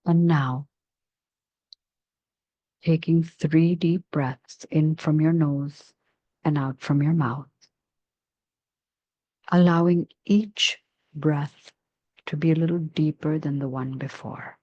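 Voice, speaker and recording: A woman leading a guided meditation in a soft, soothing, measured way with long pauses between phrases. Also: deep voice, fairly even intonation, no room sound, dull audio.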